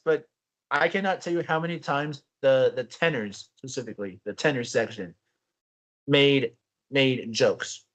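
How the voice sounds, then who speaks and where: A young man co-hosting a casual podcast, telling stories in a rambling, animated way with frequent restarts. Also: mid-range voice. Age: young adult. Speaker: podcast co-host. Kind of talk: casual chat.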